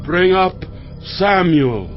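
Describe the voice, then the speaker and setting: An anguished, commanding king of ancient times in a Bible drama. His voice sits high and sounds theatrical and urgent. Faint music runs beneath the slightly dull recording. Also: lively intonation, drawn-out words, full character voice. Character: desperate, commanding ancient king seeking help. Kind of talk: dramatized audio Bible acting.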